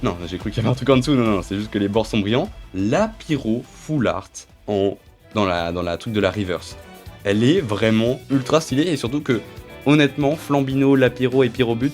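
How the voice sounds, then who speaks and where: A man's voice: medium pitch, darkish tone. A young man with a stuffy cold talks loosely and chattily, naming each card as he pulls it. His voice sits in an ordinary middle range. Faint music plays under him. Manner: chatty, rambling, names each card as he goes. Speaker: channel host. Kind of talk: casual card-opening vlog. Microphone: phone camera.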